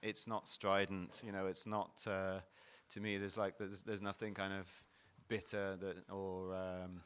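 A man's voice: low-pitched, level tone, a little slow, a bit bright, clean tone. A middle-aged man hosting a wine panel, explaining the wines in a descriptive, explanatory way. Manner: explanatory, descriptive tasting talk. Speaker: panel host. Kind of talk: wine tasting presentation. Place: event hall at a wine fair.

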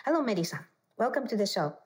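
A woman's voice: on the high side, big pitch swings, quick pace. A woman presenting as a podcast host, moving between a prepared introduction and conversational interview questions. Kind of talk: radio interview with scripted introduction.

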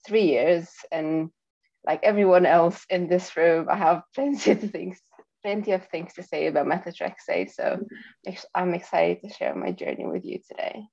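Low-voiced young woman, dry dull audio. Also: moderate pace, creaky, a little mellow, no room sound. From Romania. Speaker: podcast panelist. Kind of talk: casual self-introduction.

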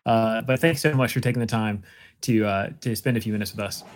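A man's voice: fairly low pitch, fairly even intonation, a little fast. A man with an American accent hosting a podcast in casual conversation. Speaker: podcast host. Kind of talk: casual chat.

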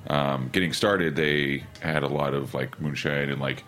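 A man's voice: rumbling low, fairly even intonation, unhurried, fairly bright tone. A man co-hosting a podcast in a laid-back casual way, often saying "man" and hesitating with uhs. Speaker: podcast co-host. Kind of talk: casual interview chat.

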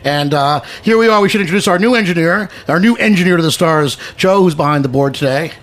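A man with a fairly high voice hosts a loose, bantering radio talk show. He has a teasing, irreverent manner and speaks casually, as one does among studio guests. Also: quick pace, fairly bright tone.

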